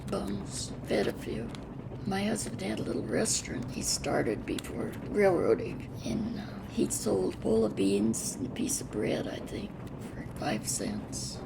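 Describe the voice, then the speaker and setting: An elderly American woman with an aged, creaky voice recalls her life in a halting, plain-spoken way, her phrases often trailing off. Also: mid-range voice, noisy background. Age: 80s or older. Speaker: retired railroad worker. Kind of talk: oral history reminiscence.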